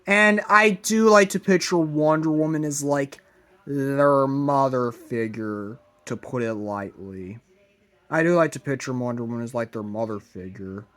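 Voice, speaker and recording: A man narrating a fan-made plot idea in a halting way, in short broken phrases. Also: medium pitch, a bit bright.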